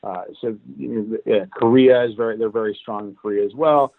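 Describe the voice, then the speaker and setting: A man from Cuba, a guest in an interview, telling how his career went in an animated, casual way. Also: on the low side, brisk, gravelly, no echo. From Cuban. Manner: animated, recounts events at length. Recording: tinny phone-like line, dry.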